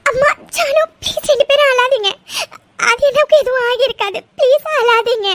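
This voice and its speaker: A woman's voice: extremely high pitch, a little fast, background noise. A woman voicing a young sister, pleading and reassuring, in a melodramatic audio serial. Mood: consoling. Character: young sister comforting her crying family.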